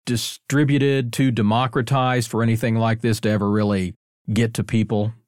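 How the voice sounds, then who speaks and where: A man with a mid-range voice in a very dry acoustic hosts a relaxed interview. He chats casually and puts questions with a wry, joking touch. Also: mid-range voice, moderate pace, clean tone, dry recording. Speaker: interview host.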